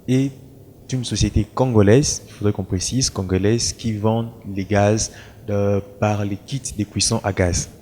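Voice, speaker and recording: A man's voice: on the low side, darkish tone. A man representing a company who answers interview questions hesitantly, with many fillers. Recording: reverberant room with faint noise.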